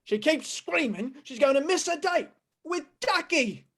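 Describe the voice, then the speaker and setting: A woman playing a gruff, commanding police sergeant in a 1950s radio play, full of cop slang. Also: high-pitched, expressive, measured pace, very noisy. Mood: brisk, puzzled.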